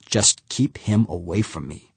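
A man's voice: sits low, unhurried, gravelly, breathy edge, no echo, full character voice. A man voicing a shaken male executive who gives terse, clipped orders. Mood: grim.

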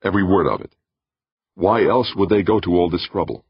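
A man voicing a steady, earnest man in an audiobook, controlled and deliberate. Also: low voice, gravelly, slightly rough, no echo, muffled recording, pitched above the performer's own voice, heavily acted. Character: steady, earnest man, convinced and holding his temper. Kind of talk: audiobook character voice.

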